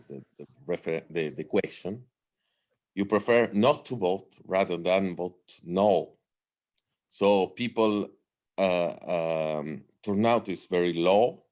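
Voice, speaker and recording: A man's voice: sits low, darkish tone. A man with a strong Italian accent giving a talk in English, hesitant, with many fillers and restarts. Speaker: guest speaker on democracy. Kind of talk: lecture-style talk.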